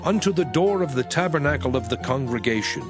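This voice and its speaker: A man narrating scripture in a solemn, expressive scripted reading. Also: mid-range voice, brisk. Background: music.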